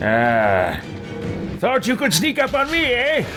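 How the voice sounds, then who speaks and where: A man voicing a pompous, scheming mage in theatrical, deliberate in-character commentary for a video game roleplay. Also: very high-pitched, wildly swooping pitch, loud music behind the voice, voice pushed up, heavily acted. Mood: haughty, bemused. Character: pompous, scheming male mage trapped in another body.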